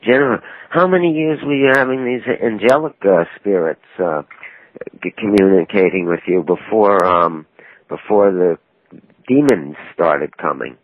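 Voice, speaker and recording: Low-voiced man firing pointed questions. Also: moderate pace, no room sound. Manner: probing, cuts in with pointed questions. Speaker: author interviewing a caller. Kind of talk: call-in radio talk. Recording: dry, narrow phone-like sound.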